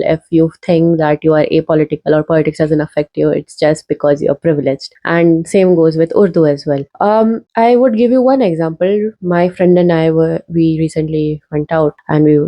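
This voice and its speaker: Young woman, Indian English accent, low-ish mellow voice, earnest persuasive vlog talk, filler words. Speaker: video essayist. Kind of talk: earnest casual video essay. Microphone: talking to camera.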